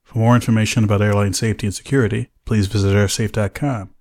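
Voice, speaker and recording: An American man speaking as an aviation safety analyst, in a measured, careful, explanatory way. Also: on the low side, little pitch movement, darkish tone. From United States. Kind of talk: measured explanatory interview talk.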